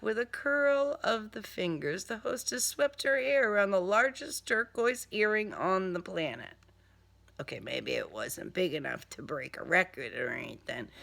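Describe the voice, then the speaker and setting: Slow, dramatic woman reading crime fiction. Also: medium pitch, vocal fry, a bit bright. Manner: deliberate, dramatic, sardonic. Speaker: author reading own crime novel. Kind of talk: dramatic first-person story narration.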